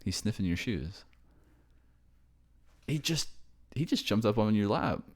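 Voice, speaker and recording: A man performs an affectionate boyfriend in an ASMR roleplay. His voice sits low, with a gentle, casual delivery. He reassures and explains like someone talking to a partner he loves. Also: expressive, a little slow. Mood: tender, explaining. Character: affectionate young boyfriend raised by a ghost family.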